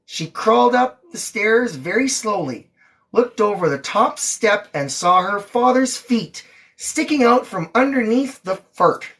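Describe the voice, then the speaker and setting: A woman's voice: medium pitch, animated, measured pace, fairly bright tone. A woman reading a storybook aloud to a child, acting out the lines expressively. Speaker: mother reading to her daughter on a livestream.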